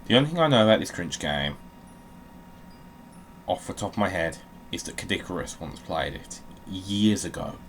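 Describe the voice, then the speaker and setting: A man's voice: deep voice, very animated, normal speed, pauses often, a bit bright. A man hosting a casual gaming let's-play, grumbling drily and mocking himself. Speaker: video game show host. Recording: clear background noise.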